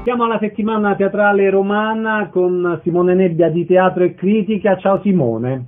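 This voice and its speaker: Brief: male radio host, fairly high voice, interviewing and presenting, thin lo-fi broadcast sound. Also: normal speed, faint music behind. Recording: lo-fi narrow-band radio sound.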